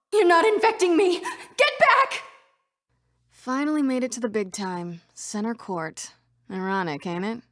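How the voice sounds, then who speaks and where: A woman's voice: medium pitch, a bit bright, no echo, studio quality. An actress voicing female computer program citizens in a scripted video game. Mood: panicked screaming, then defiant, blunt. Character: female computer program citizens, by turns frightened, defiant or official.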